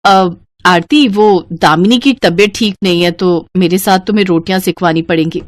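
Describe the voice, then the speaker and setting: A woman's voice: on the low side, normal speed, no echo, natural voice. A woman voicing a chatty, bossy elder sister-in-law in a cartoon family story, with a breezy, familiar manner. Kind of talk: Hindi cartoon story dialogue.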